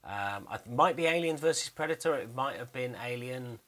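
Man, mid-pitched, bright forceful tone, casual screen commentary, explanatory, hesitant, thinking aloud. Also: expressive, moderate pace. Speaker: 3D animator narrating behind-the-scenes work.

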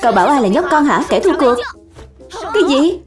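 A woman acting as a high, excitable young cartoon hero. The delivery is dramatic and full of exclamation, in the manner of an animated dub, with music playing behind. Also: a little fast. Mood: excited, bickering. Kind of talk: animated cartoon dub, several characters under one speaker number.

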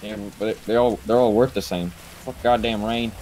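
A man playing a plain-spoken, grumbling and skeptical lumberjack in an online game roleplay, halting and repeating phrases. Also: fairly low pitch, fairly even intonation, a little mellow, a little hiss or hum, natural voice, rough recording.

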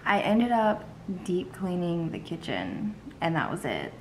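A woman's voice: lowish voice, sing-song, a little slow, a little mellow, rough recording. A young woman vlogs from her kitchen, talking close to her phone. She sounds worn out and rambles casually, padding her thoughts with ums and likes. A faint hiss sits under the home recording. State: tired. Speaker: vlogger. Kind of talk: casual vlog chat. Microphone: phone held close.

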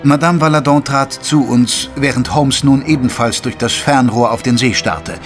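A man playing an earnest Victorian doctor who narrates a detective audio drama in a measured, literary way. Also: mid-range voice, music in the background, close to an everyday voice. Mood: measured, grave. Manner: measured, literary storytelling delivery. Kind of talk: scripted German audio drama narration with dialogue.

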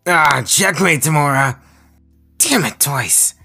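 A man voicing an irritable, excitable male villain gang leader who scolds loudly in an anime audio roleplay. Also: on the high side, takes it slowly, vocal fry, slightly rough, soft background music, pitched above the performer's own voice, exaggerated voice. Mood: excited, frustrated.

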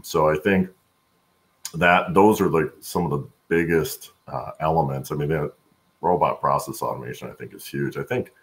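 A middle-aged man with a gravelly voice, answering conversationally as an interview guest on a video call. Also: very deep voice. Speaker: podcast guest, supply chain executive. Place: indoor room at home, on a video call.

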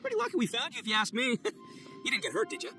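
A man voicing a loud, boastful and goofy young male oni gang boss in a game cutscene. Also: very high voice, wildly swooping pitch, very fast, soft background music, exaggerated voice. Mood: boisterous. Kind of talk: video game cutscene voice acting.

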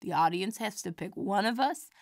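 A woman playing a kindly, proud elderly comedienne in an audio drama, genteel and gently chiding with folksy quips. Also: medium pitch, animated, moderate pace, gravelly, dry recording, studio quality. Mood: gentle, wry.

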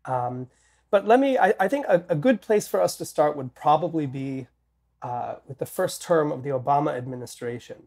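A man in his thirties, slightly nasal from a head cold, speaking in a measured, deliberate way with many ums and restarts as a guest on a video call. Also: a little high, expressive, vocal fry, a little mellow. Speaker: political scientist guest. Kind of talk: interview conversation. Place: home office with bookshelves.

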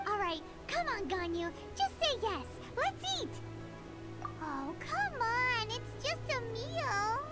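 A woman voicing a tiny, chirpy and nosy fairy girl companion in a video game, with a squeaky childlike voice. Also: sing-song, slow pace, loud music behind the voice, full character voice. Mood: coaxing. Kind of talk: game voice acting.

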